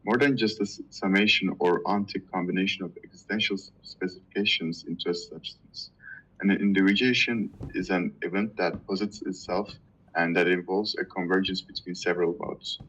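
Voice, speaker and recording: A man whose first language is Turkish, reading a dense philosophy text aloud to a reading group, halting and stumbling over hard words. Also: sits low, steady tone, a bit bright, husky, dull audio.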